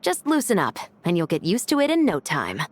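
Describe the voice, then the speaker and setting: A woman voicing a composed, no-nonsense young female officer in a video game, with a measured, businesslike manner. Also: fairly low pitch, huge pitch swings, heavy background noise. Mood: relaxed, friendly. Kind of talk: English video game voice acting.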